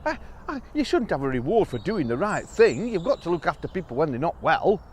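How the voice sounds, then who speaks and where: A man doing a timid squeaky little mouse. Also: big pitch swings, fairly quick, breathy edge. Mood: earnest. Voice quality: high, squeaky and flustered. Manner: nervous, easily startled, yelps when scared.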